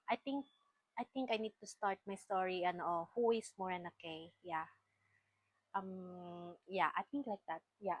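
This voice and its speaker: A Filipina woman in her late thirties with a Filipino accent, telling her own story to the camera in a chatty, halting way with many fillers and restarts. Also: on the low side, dry recording, low-quality recording. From Philippines. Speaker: vlogger. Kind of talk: casual personal storytelling.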